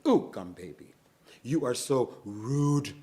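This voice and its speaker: A man voicing a prim, short-tempered woman with fussy, overly polite manners who is quickly exasperated. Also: fairly high pitch, big pitch swings, darkish tone, voice pushed up. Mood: scolding.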